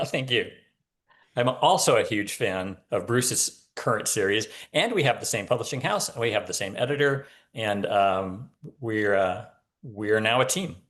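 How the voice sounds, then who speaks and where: A man's voice: on the low side, lively intonation, unhurried, fairly bright tone. A man speaking as an author guest in a casual, conversational interview. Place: remote video call from a home.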